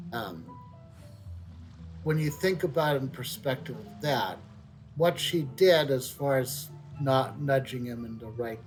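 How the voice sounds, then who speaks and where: A middle-aged man giving casual, unscripted video game commentary, halting and reflective, repeating words as he thinks. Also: mid-range voice, low-quality recording. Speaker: game streamer. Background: soft video game music.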